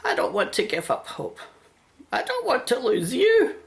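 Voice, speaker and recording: A woman acts a homely mother and carer in a radio drama. Her voice is soft, sometimes breathy, and moves up and down as she coaxes gently. There is an anxious tenderness to her. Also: fairly high pitch, huge pitch swings, moderate pace, voice pushed up, exaggerated voice. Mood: worried, pleading. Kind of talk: radio play acting.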